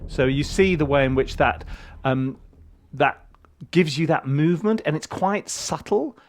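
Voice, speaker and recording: A man giving a casual video tutorial as a composer and tutor, with a playful, rambling and animated way of talking. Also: fairly high pitch, measured pace. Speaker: film composer and music tutor.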